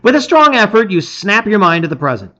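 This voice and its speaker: A man's voice: high voice, big pitch swings, brisk, sharp tone, lo-fi sound. A man reading a gamebook aloud as a dramatic story, with theatrical, emphatic phrasing.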